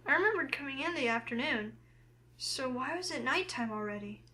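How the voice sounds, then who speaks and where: A woman's voice: high-pitched, a little fast. A woman voicing a flustered, wary young heroine who narrates a visual novel game in the first person. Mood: uneasy, reflective. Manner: expressive, flustered.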